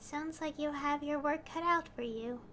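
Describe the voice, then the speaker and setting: A woman streamer reading game dialogue aloud in a slow, soft, unhurried way. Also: high-pitched, slight background noise.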